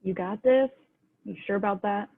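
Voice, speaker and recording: A woman's voice: mid-range voice, normal speed, vocal fry. A woman playing a tough, sardonic lounge singer with a dry, unimpressed manner in an amateur script read. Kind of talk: amateur screenplay table read. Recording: tinny, phone-like video-call audio.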